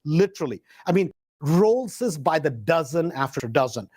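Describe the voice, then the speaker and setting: A man with a high-pitched voice spins a personal anecdote in a casual, conversational style. He leans on emphatic repetition and slang, and acts out the back-and-forth dialogue as he goes. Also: moderate pace, breathy edge. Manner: animated retelling with acted dialogue, emphatic repetition. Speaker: host.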